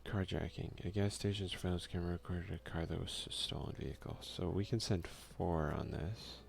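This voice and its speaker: A soft-spoken man doing an ASMR let's play, reading game text aloud and commenting in a hushed voice that at times slips into a whisper. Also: sits low, brisk, creaky. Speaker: gaming video creator and player.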